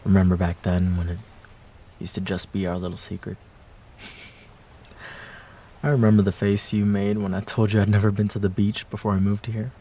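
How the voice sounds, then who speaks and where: A man acting a wistful young ex-boyfriend in an intimate roleplay audio drama, speaking casually and hesitantly, with pauses and trailing phrases. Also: fairly low pitch, level tone, warm tone. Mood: fond, nostalgic.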